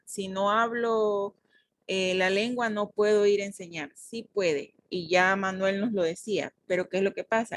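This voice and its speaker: Una mujer hondureña dando clase en la universidad, con voz de altura media. Aclara conceptos con paso firme y retoma lo que dijeron sus estudiantes para precisarlo. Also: female voice, mid-range voice. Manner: explanatory, corrects and clarifies. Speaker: teacher. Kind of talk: university class discussion.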